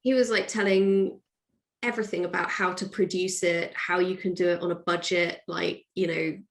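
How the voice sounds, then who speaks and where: A woman with a mid-pitched voice talks as the guest in a casual interview. She is a writer explaining her work, chatty and animated, often slipping into quoted asides. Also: normal speed. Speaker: guest, audio drama writer.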